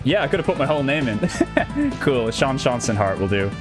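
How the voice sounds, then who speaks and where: A man's voice: medium pitch, sing-song, moderate pace. A young Irish man with an Irish accent, casually chatting through a live gameplay stream in an upbeat, rambling way. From Ireland. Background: game music.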